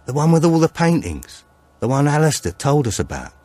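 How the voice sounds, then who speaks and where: A man's voice: a little high, very animated, a little fast, darkish tone, voice pushed up. A man voicing an edgy small-time criminal, terse and pressing, in a thriller audiobook. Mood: pushy, edgy.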